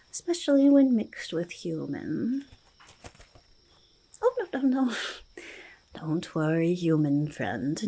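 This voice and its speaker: A woman voicing a quirky, eager little goblin in a soft-spoken ASMR roleplay, creaky and whispering, with halting, repetitive speech. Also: medium pitch, heavily acted. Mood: mischievous, reassuring. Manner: halting, repetitive, third-person speech with drawn-out words. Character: quirky, eager, faintly menacing little goblin, friendly to humans. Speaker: ASMR performer in character.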